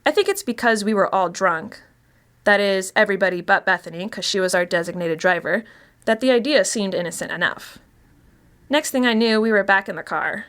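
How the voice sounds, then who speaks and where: A wry woman past thirty played by an actress tells her own story in a conversational, confiding way. Her voice is mid-pitched, and she talks as if admitting something to a listener in an audio short story. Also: brisk, a bit bright, natural voice. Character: chatty, wry Los Angeles woman past thirty confessing. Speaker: actor reading a short story. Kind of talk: first-person dramatic monologue, conversational storytelling.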